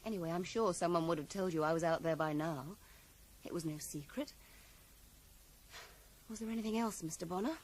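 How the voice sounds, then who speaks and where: An actress in a radio drama playing a guarded, sharp-tongued woman writer who is curt, evasive and coolly defiant. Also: lowish voice, a little fast, long pauses, close to an everyday voice. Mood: guarded, polite. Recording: noisy 1980s radio drama broadcast.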